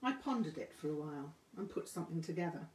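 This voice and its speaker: A woman reading a memoir aloud as scripted narration, with measured, deliberate phrasing. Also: sits low, lively intonation, a little mellow. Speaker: audiobook reader. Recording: roomy, echoing room sound.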